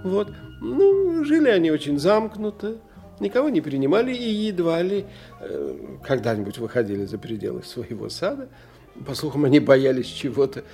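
An actor playing a learned older professor in an audio drama, lecturing in a theatrical, condescending manner. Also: male voice, on the high side, very animated, normal speed, dark tone, soft background music. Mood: genial, gossipy. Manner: didactic, theatrical, condescending to his young friend. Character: animated, learned older professor investigating psychic phenomena.

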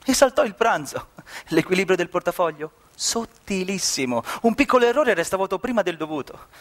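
A man's voice: high-pitched, animated, brisk. A male actor narrating a theatrical radio play in declamatory, clipped phrases.

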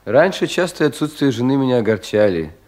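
An actor playing a troubled, self-doubting young provincial official in a 1970s Russian radio play, in an anxious, theatrical manner. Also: male voice, mid-range voice, very animated, a little fast, lightly acted. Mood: bitter, fearful. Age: young adult. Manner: brooding, theatrical, self-questioning, with sudden swells and hushed drops.

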